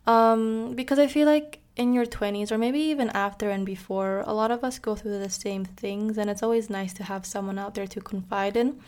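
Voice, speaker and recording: Twenties woman from Indonesia, podcast co-host, friendly chatty delivery with fillers and soft laughs. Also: mid-range voice, fairly even intonation, moderate pace, few pauses. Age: 20s. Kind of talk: casual chat.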